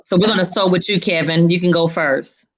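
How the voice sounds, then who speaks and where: A woman's voice: lowish voice, brisk, poor audio. A woman hosting an upbeat interview show in an informal, chatty way, often repeating short affirmations. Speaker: show host.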